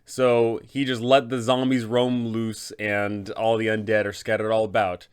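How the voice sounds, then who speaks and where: A man's voice: fairly low pitch, level tone, measured pace, smooth. A man hosting a guide for game masters, delivering scripted narration about a fantasy tabletop game. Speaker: host, game master giving advice.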